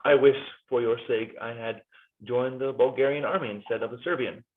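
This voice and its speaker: A man's voice: steady tone, fairly quick, lightly acted. A man voicing a weary, jittery Swiss mercenary soldier in a cold-read play reading over a video call, wry and pleading, his voice jumping up in sudden leaps. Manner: nervous, wry and pleading, with jumpy pitch leaps. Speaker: cast reader in a play reading. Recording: tinny phone-like video call audio.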